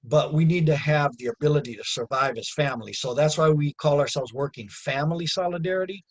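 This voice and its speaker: A man with a slightly husky voice speaks over a video call in an earnest, plain-spoken way. He talks like a community organizer closing a webinar, and he often rises into emphatic, raised passages. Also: a little high, brisk. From United States.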